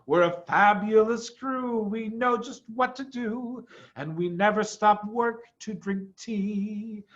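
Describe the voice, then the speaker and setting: A man's voice: sits high, slow pace, pitched above the performer's own voice. A man voicing a skinny, cheerful, boastful monkey from a storybook, in a bouncy singsong of rhymes. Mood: cheerful, singing. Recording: dull, muffled sound.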